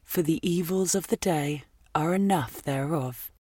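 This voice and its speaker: A man voicing a calm, wise female alien shapeshifter in a soft, slow, serene way. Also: fairly high pitch, breathy edge, dry recording, clean studio sound, voice pushed up.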